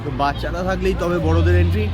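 A man's voice: high-pitched, steady tone, racing. A man with a Kolkata Bengali accent giving casual, informal walk-around vlog narration, pointing things out to viewers. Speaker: vlogger. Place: crowded outdoor festival grounds. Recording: loud music and crowd, busy outdoor street noise.

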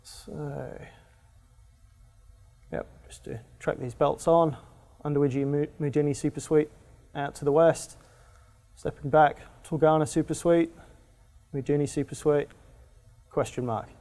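Halting man giving a geology talk. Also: on the high side, fairly even intonation, warm tone. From Australia. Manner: halting, many fillers and restarts. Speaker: geologist presenter. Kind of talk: technical conference talk. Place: conference room with projector screen. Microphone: lectern microphone.

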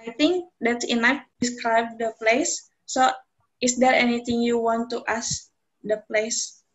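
A woman's voice: fairly high pitch, steady tone, unhurried, low-quality recording. A young Indonesian woman with an Indonesian accent, giving a careful, rehearsed student presentation over a video call from home. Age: young adult. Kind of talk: class project video call. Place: room at home.

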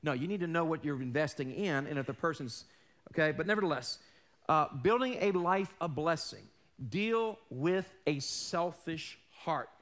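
A man in his forties preaching a sermon on a large church stage, losing his voice, animated and emphatic, swinging between loud and hushed and calling out questions to the congregation. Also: on the high side, normal speed. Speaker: pastor.

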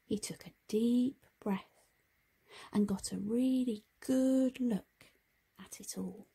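A woman narrating a children's picture book, expressive and deliberate in her phrasing. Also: fairly high pitch, husky. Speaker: storybook reader. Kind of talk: scripted children's story narration.

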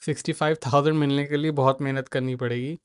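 A man's voice: mid-range voice, fairly even intonation, fast talker, clean tone, no room sound, clean studio sound. A man with an Indian accent hosting a live market stream, answering viewers by name in a mix of Hindi and English. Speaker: market analyst host.

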